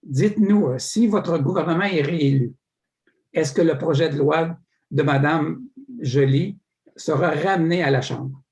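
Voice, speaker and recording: Male moderator, slightly high voice, emphatic question delivery, filler-heavy. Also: unhurried, a little mellow, poor audio. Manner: emphatic, peppered with filler "là" and small stumbles. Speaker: debate moderator. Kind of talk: posing prepared questions.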